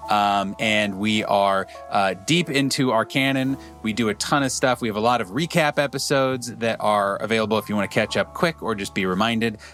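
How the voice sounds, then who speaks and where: A man's voice: on the low side, moderate pace, few pauses, a bit bright, soft background music. A man guesting on a podcast over a video call, talking casually and rambling with lots of filler words. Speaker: game designer and podcast guest. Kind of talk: casual chat.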